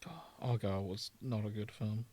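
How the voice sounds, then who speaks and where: A man's voice: on the low side, steady tone, normal speed, warm tone, no room sound. A British man hosting a casual podcast, chatty and a little self-deprecating. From Britain. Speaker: podcast host.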